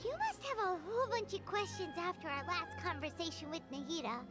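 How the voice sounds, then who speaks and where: Squeaky, chirpy little fairy companion. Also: female voice, expressive, brisk. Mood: curious, chatty. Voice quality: squeaky, high childlike voice. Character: small chirpy childlike fairy companion, curious and chatty. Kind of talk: video game voice acting. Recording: game dialogue over loud music.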